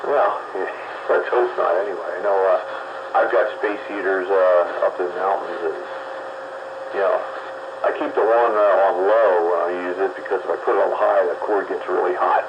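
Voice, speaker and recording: An easygoing man hosts a casual radio chat, filling pauses with "uh" and "you know." His voice sits on the low side. The sound is dull and lo-fi, with a clear hiss behind him. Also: steady tone. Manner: easygoing, chatty, many fillers. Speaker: radio host.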